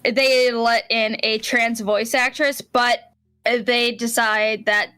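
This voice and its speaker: A woman's voice: fairly high pitch, fairly even intonation, slow pace, pushed and bright, clear, resonant tone. A woman co-hosting a casual podcast, chatting frankly and building up to blunt comparisons. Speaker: podcast co-host.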